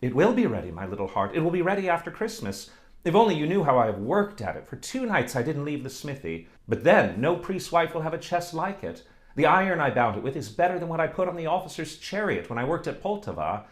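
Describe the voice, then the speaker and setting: A man's voice: a little high, sing-song, fast, reverberant, pitched above the performer's own voice. Earnest, lovesick young blacksmith, raised and pleading, as a male storyteller puts him on.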